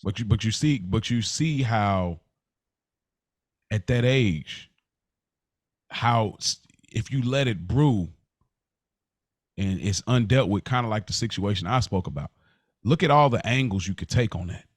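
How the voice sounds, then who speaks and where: A man's voice: lowish voice, animated, a little slow, long pauses, no room sound, studio quality. An American man co-hosting a podcast, talking casually and reflectively, often repeating and rephrasing as he explains. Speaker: podcast co-host.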